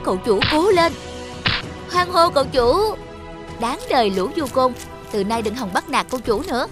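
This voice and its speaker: A woman playing a young, devoted, lovestruck female vehicle in an animated cartoon, with a sweet, earnest and expressive delivery. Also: sits high, normal speed, over music. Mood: excited cheering.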